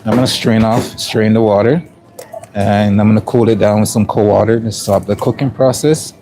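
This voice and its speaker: A fairly low-pitched man with a raspy edge talks viewers through his prep in a work kitchen. He keeps it loose and conversational, with frequent ums and throat clearing. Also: steady tone, moderate pace, warm tone, slight background noise. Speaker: chef livestreaming from work. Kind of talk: casual live-stream narration.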